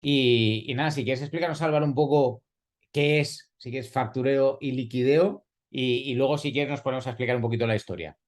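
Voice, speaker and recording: A man's voice: mid-range voice, brisk. A man from Spain, hosting a podcast interview in an informal, slangy way over a call. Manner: informal, uses slang and asides. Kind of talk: casual interview.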